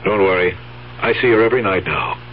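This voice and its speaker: An actor playing a scheming, impatient nephew in a 1970s radio drama, speaking firmly and deliberately with an exasperated edge. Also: male voice, low-pitched, lively intonation. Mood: calculating. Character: scheming, impatient nephew humouring his aunt. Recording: dull old radio recording, faint hum.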